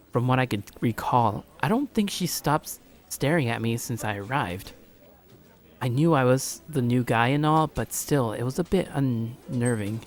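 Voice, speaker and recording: A man in a casual let's play style, reading a visual novel aloud informally and stumbling now and then. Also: medium pitch. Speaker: gaming streamer.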